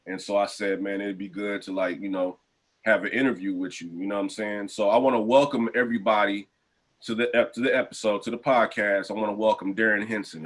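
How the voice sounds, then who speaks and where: A man with a somewhat low voice hosts a podcast over a video call. He talks in an easygoing way, with casual slang and friendly asides. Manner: laid-back, relaxed slang. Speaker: podcast host.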